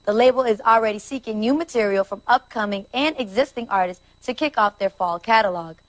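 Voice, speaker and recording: An actress playing a polished, serious American TV news anchor in a video game, reading a scripted report. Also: female voice, on the high side, fairly quick, lightly acted, poor audio. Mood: serious, brisk.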